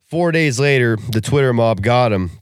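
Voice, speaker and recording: A young man co-hosting a podcast, talking casually with animated, profane rants. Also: medium pitch, moderate pace, clear, resonant tone, no echo, studio quality. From Russian family background. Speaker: podcast co-host.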